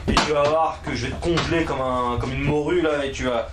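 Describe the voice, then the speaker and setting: A man's voice: on the high side, fairly even intonation, fairly quick, heavy background noise. A man hosting a comic mock interview, mock-serious and suddenly bossy and insulting. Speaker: host. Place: indoor cluttered shed.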